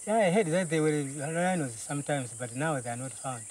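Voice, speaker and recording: A man from Zambia answering questions outdoors, mostly in quiet, short replies. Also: a little high, animated, fairly quick. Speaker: detained poacher. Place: outdoors in the bush. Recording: distant outdoor mic, faint insect noise.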